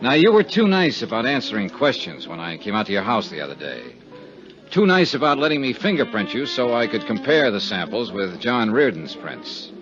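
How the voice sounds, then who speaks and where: An American actor playing a stubborn, sardonic investigator in a 1950s radio detective drama, with a wry, clipped, hard-boiled delivery. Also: male voice, medium pitch, very animated, normal speed, close to an everyday voice. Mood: needling. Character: stubborn, sardonic male insurance investigator narrating his case. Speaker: lead actor and narrator. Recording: dull 1950s radio recording with music.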